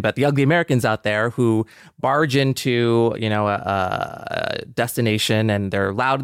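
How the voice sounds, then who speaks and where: Smooth-voiced man thinking aloud. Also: mid-range voice, no echo, clean studio sound. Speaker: discussion participant. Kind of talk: casual reflective group discussion.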